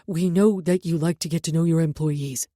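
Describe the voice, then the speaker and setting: A woman's voice: low-pitched, steady tone, normal speed, mellow, no room sound, studio quality, pitched below the performer's own voice, close to an everyday voice. A woman reading the part of a nervous male security guard who apologizes and stammers. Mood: apologetic.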